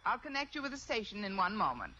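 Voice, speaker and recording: The recording is muffled and noisy, like an old radio comedy. An actress plays a quick-witted American woman teacher with a swooping, expressive delivery. She tosses off dry, sarcastic wisecracks. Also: mid-range voice, close to an everyday voice. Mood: flustered, wry. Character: wry, quick-witted female high school English teacher. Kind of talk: 1950s American radio sitcom acting. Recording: dull old radio recording, clear noise.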